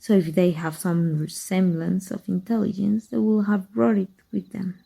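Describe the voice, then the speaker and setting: Woman playing a dark magic teacher, ASMR roleplay, soft measured delivery, very dark tone, gravelly. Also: medium pitch, level tone. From Mexico. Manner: soft, measured, drops to whispers. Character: cunning female dark magic teacher, calm and secretive. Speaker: voice actor.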